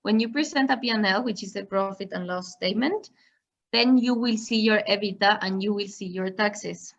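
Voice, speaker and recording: A woman's voice: mid-range voice, normal speed, fairly bright tone, lo-fi sound. A woman giving an online masterclass on finance, explaining step by step with examples. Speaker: finance trainer. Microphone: video call.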